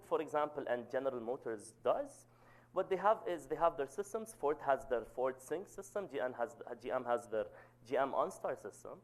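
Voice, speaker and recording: A man in his thirties with a loud voice, giving an academic seminar talk and often checking in with "okay?". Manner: says "okay?" to check in, lively pitch. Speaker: guest lecturer.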